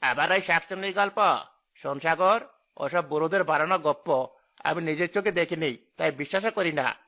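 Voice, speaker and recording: Brash money-hungry boatman for cartoon, played by a man: bright, forceful, high, scornful. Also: moderate pace. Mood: scornful boasting. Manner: boastful, scornful. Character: brash, greedy boatman who scoffs at superstition. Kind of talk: cartoon horror story acting.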